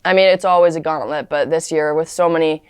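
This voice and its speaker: Low flat gravelly woman athlete talking about her team, dry close sound. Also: fast, no echo. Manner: earnest, casual with fillers. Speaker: college lacrosse player. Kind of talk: interview answers.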